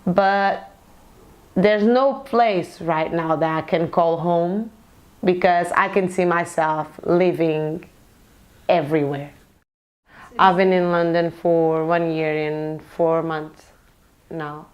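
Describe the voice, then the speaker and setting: A young woman from Portugal, a nurse, talking earnestly in a documentary interview, pausing often to find her words. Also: fairly low pitch, steady tone, dull audio. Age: young adult. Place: London.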